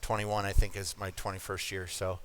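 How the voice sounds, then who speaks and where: A man's voice: low-pitched, monotone, moderate pace, clean tone. A man, middle-aged, casually introducing his troupe to an audience as its director, with a joking manner. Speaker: puppet troupe director, host.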